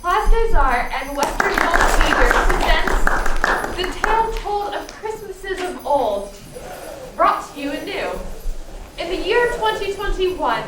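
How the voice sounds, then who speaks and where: A woman's voice: very high-pitched, expressive, slow. A woman narrating a radio play from a script, theatrical and emphatic with a sardonic edge. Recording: echoey room, distant microphone, background noise.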